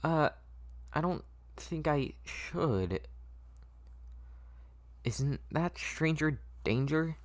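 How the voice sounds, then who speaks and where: A man's voice: on the high side, animated, very slow, long pauses, pitched above the performer's own voice. A man voicing a small, frightened, naive little girl who speaks hesitantly and stammers, in a story read-through. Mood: confused, uneasy.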